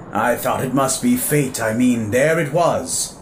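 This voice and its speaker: An actor playing a vain, menacing alien warlord in a sci-fi audio drama, with a musing, theatrical and grandiloquent manner. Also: male voice, medium pitch, slight background noise. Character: menacing, vain alien warlord who savours ancient human texts. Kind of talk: audio drama villain acting.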